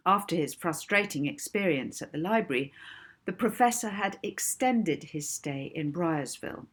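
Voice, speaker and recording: A woman reading a children's novel aloud as an expressive audiobook narrator. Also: low-pitched, breathy edge.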